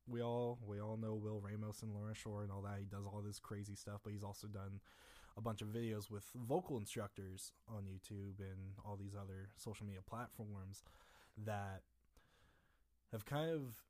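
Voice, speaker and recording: A man's voice: on the low side, moderate pace. A young man chatting casually as a guest drummer, rambling with restarts, his voice a bit strained. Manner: relaxed, rambling with restarts and fillers. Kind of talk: casual chat.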